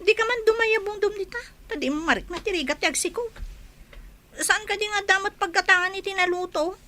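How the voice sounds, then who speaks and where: Nangato ti timek na a mareklamo a babai nga akto. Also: female voice, extremely high pitch, a little fast, bright, forceful tone, a little hiss or hum. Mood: complaining. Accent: Ilocano. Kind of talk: Ilocano radio drama acting.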